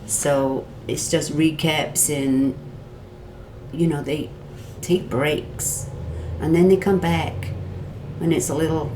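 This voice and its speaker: Slow, low-voiced rambling woman vlogger. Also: noisy background. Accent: Wisconsin. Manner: meandering, chatty, drifts off topic and back. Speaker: vlogger recapping a court trial. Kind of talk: rambling casual commentary.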